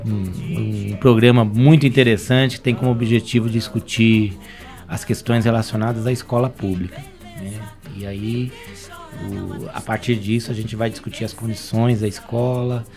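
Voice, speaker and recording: A man hosting a podcast, talking in a chatty, loose way with fillers and restarts. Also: mid-range voice. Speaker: podcast host.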